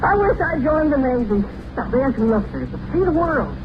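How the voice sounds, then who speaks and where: A man's voice: very high-pitched, normal speed, lo-fi sound. An actor playing a dreamy, impulsive young elevator boy with a plaintive, hesitant manner in an old-time radio comedy. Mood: disgruntled. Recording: noisy old radio recording, steady hiss.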